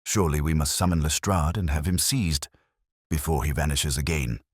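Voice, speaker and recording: A man's voice: rumbling low, normal speed, slightly rough, no room sound, studio quality. A man voicing a nervous, anxious Victorian client, faltering and pleading, in an audio mystery story. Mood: urgent.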